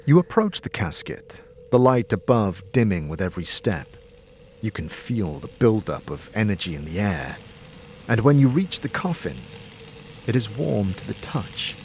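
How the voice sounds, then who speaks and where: A man narrating a scripted audio drama as game master, with measured, suspenseful storytelling addressed to the listener. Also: on the low side, sing-song, a little mellow, slight background noise.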